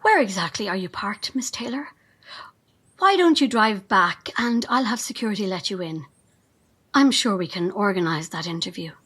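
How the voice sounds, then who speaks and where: Husky, menacing cult devotee. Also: female voice, mid-range voice, expressive, fairly bright tone. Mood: coolly courteous. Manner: measured, veiled threats, reverent. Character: fanatical female cult assistant, calmly menacing, rapturously devoted. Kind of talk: audio drama dialogue.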